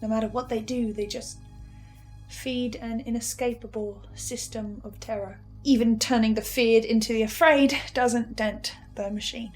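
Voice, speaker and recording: A woman plays an anxious, sardonic broadcaster in an audio drama. Her voice sits high, and she speaks haltingly and expressively, breaking into her own sentences. Faint music plays underneath. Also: close to an everyday voice. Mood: subdued, confiding. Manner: halting, expressive, self-interrupting. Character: Edwin, anxious, sardonic researcher and broadcaster bound to fearsome powers. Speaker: podcast-style host reviewing a horror series. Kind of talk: naturalistic scripted audio drama.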